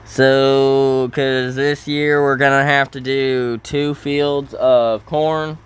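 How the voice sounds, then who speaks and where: A man giving casual commentary over farming gameplay, halting and often correcting himself as he thinks aloud. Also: mid-range voice, level tone, smooth. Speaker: gaming video host.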